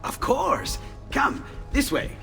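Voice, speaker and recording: A man voicing an excitable, absent-minded young Renaissance inventor with an Italian accent in a video game, eager and exclamatory, dropping into hushed mutters. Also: takes it slowly. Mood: delighted, flustered. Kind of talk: animated video game dialogue acting. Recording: music behind the voice.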